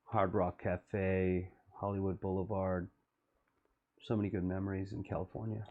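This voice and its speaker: Low, flat-voiced man reminiscing. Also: phone-quality sound, background noise. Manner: low-voiced, slow and wistful. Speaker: musician commenting on his own music video. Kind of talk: reflective commentary.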